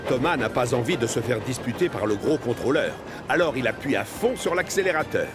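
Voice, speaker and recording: A man's voice: mid-range voice, big pitch swings, a little fast. A man reading scripted narration for a children's cartoon. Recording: music behind the voice.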